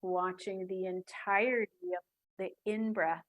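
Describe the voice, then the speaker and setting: A woman leads a guided breathing meditation, speaking very slowly and softly with long pauses between phrases. Her voice sits at a middle pitch and stays calm throughout. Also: poor audio.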